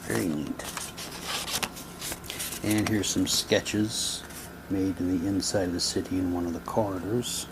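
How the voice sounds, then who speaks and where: Male, low register, very unhurried; casual unboxing host with a wry, halting delivery. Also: steady tone, noisy background. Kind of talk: casual unboxing commentary, reading captions aloud.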